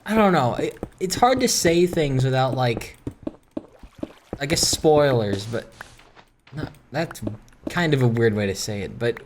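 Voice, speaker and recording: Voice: medium pitch, animated, unhurried, pauses often, a little hiss or hum. A gaming video host giving casual, rambling commentary in a self-deprecating, ranting way that wanders from one thought to the next.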